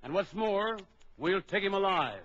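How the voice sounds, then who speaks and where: An actor playing a bold big-game trapper in an old children's adventure record, briskly instructing and giving orders. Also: male voice, sits high, animated. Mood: confident, instructive. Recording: dull old record with background crowd noise.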